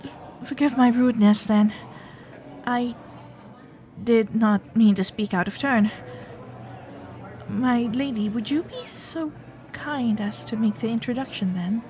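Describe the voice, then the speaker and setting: A woman's voice: fairly high pitch, measured pace, long pauses, darkish tone, lightly acted. A young woman playing a nervous, chatty Gilded Age heiress in a romantic audio roleplay, hesitant and genteel in manner. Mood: flustered, polite. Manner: flustered, hesitant, babbling, genteel and formal. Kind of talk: romantic period audio roleplay, spoken intimately to listener.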